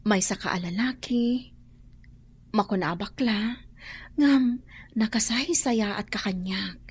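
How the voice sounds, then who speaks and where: Earnest young woman, husky voice. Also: mid-range voice, slow. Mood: glad, confiding. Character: earnest, dutiful young woman working abroad. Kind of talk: radio drama acting.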